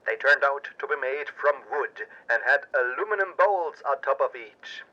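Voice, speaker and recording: A man playing an earnest, melodramatic investigative radio news anchor, delivering a scripted report with solemn, drawn-out emphasis through a radio filter. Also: mid-range voice, expressive, pushed and bright. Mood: ominous, suspenseful. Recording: dull, band-limited radio sound.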